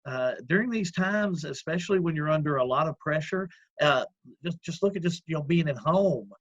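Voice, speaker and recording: A man with a loud voice, an executive director talking earnestly in a casual interview, with many fillers and restarts. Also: a little high, expressive, normal speed, no echo, dull audio. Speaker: community action executive director. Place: at home, remote call.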